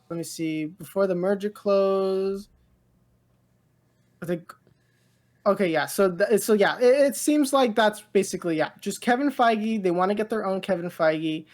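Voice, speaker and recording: A woman co-hosting a casual pop culture podcast, rambling and halting as she thinks aloud. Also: on the low side. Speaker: podcast co-host.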